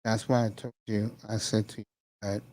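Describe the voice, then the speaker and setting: A man hosting a casual conversation, speaking earnestly and encouragingly with many pauses and fillers. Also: on the low side, level tone. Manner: warm, encouraging, hesitant fillers. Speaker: host.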